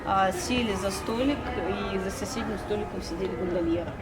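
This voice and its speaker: A woman in her thirties from Russia, telling her story as an interview guest in an animated way and laughing often. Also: medium pitch, brisk, loud music behind the voice. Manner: animated, laughs often, quotes people in a raised voice. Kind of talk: casual storytelling. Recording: loud crowd noise behind.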